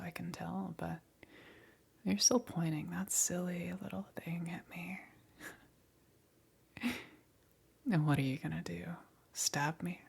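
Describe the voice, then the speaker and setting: A sadistic demon queen played by a woman whispers in a very breathy, husky voice with gravelly fry at the edges. She speaks extremely slowly, taunting and condescending, as if toying with cornered prey in an ASMR roleplay. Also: lowish voice, exaggerated voice. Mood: mocking amusement. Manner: slow, taunting, drawn-out condescending delivery.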